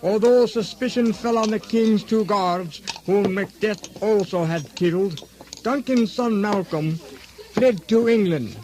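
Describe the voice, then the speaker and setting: Radio drama narrator, mock-solemn storyteller, high voice, noisy old recording. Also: male voice, unhurried, darkish tone. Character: storybook-style male narrator of a mock tragedy.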